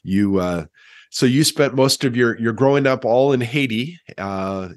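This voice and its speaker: A man hosting an interview podcast in a conversational, somewhat halting way. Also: medium pitch, dry recording, clean studio sound.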